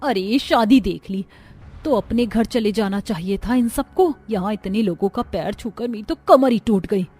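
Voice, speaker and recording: A woman's voice: mid-range voice, moderate pace, darkish tone, breathy edge, natural voice. A woman voicing a put-upon young daughter-in-law in a cartoon family drama, plaintive and grumbling. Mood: complaining.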